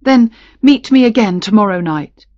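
A woman narrator voicing a lovelorn gentleman captain, earnest and romantic, in a storybook. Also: medium pitch, animated, normal speed, dry recording, muffled recording, close to an everyday voice. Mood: pleading. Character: ardent, pleading gentleman captain in love.

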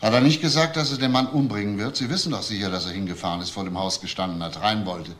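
An actor playing a methodical police detective, asking persistent, measured questions in a crime radio play. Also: male voice, fairly low pitch, fairly bright tone, lightly acted. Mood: measured, probing. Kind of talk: German crime radio drama acting. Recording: faint background noise.

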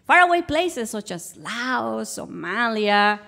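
A woman's voice: on the high side, sing-song, slow, gravelly, sharp tone. A woman from Guatemala with a Guatemalan Spanish accent, telling a personal story on stage as a guest. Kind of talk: personal story told to a live audience. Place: live stage show.

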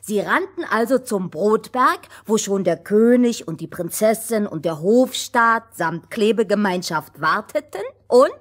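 A woman tells a fairy tale for children as its storyteller. Her pitch is middling and her delivery very unhurried. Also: lively intonation.

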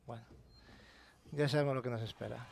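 A man's voice: mid-range voice, steady tone, a little fast, vocal fry. A young man with a Spanish accent commenting on a horror game as he plays, swearing casually and dropping to a whisper while sneaking. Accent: Spain. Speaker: let's play host. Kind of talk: gameplay commentary. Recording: game ambience noise behind.

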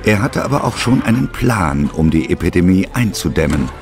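A man's voice: low-pitched, sing-song, moderate pace, gravelly, over music. A man narrating an adventure story in a scripted storytelling style.

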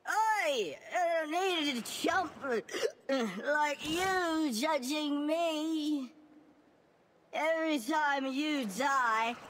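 A woman's voice: high voice, a bit bright, a little hiss or hum, full character voice. A woman voicing a drunken, rowdy, goofy female junkyard robot for a video game, with slurred, cheeky, playful delivery. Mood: tipsy taunting. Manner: tipsy, playful, jokey. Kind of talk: video game character voice pack.

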